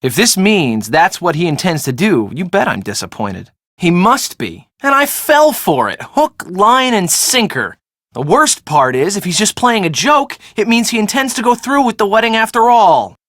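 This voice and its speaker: A sarcastic young woman in a video game, casual and slangy. Her pitch swings freely as she makes her wry remarks, and the sound is close and dry. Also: sing-song, moderate pace, fairly bright tone, no room sound. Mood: bitter disbelief. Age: young adult. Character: breezy, sarcastic young woman, evasive friend of the groom. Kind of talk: video game dialogue acting.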